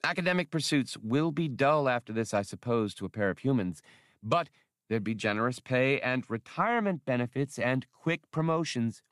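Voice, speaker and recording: A man's voice: mid-range voice, expressive, moderate pace, a bit bright, no echo, studio quality, pitched above the performer's own voice, close to an everyday voice. A man voicing an eager, fussy male alien academic with precise scholarly phrasing in an audiobook.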